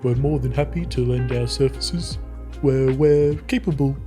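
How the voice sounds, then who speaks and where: A man's voice: mid-range voice, unhurried, mellow, faint music behind. A man playing a tabletop game, improvising politely in character with a hesitant, slightly formal manner.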